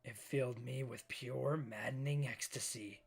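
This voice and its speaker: A man voicing a brooding young male manga villain, narrating his traumatic childhood in a hushed, haunted way. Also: medium pitch, steady tone, unhurried, slightly rough, faint music behind. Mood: dark, ecstatic.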